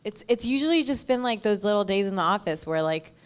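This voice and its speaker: A woman's voice: medium pitch, expressive, fast, smooth. A woman podcaster speaking informally on a panel, telling anecdotes and peppering her talk with "like". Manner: informal, frequent "like" as filler.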